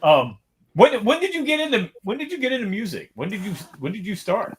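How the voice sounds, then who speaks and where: A man's voice: high-pitched, big pitch swings, brisk, a little hiss or hum. A man hosting a casual podcast chat, asking questions and adding short encouraging replies. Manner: brief encouraging interjections and questions.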